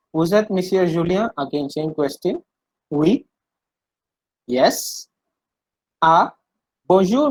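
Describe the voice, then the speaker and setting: A man's voice: a little high, darkish tone, no echo, low-quality recording. A man with an Indian accent leads an interactive language lesson. He repeats phrases, translates them line by line and often asks brief questions to check understanding. The sound is very dry, with no room around the voice. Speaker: French language teacher.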